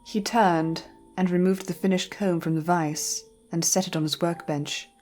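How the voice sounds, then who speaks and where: A woman narrating a scripted story in a measured, literary storytelling manner. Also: on the low side, steady tone, a little mellow, slight background noise.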